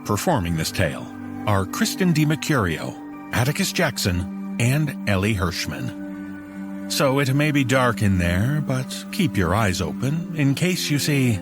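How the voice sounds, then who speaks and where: A man hosting a horror anthology, narrating from a script in a measured, theatrical way. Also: mid-range voice, very animated. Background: faint music.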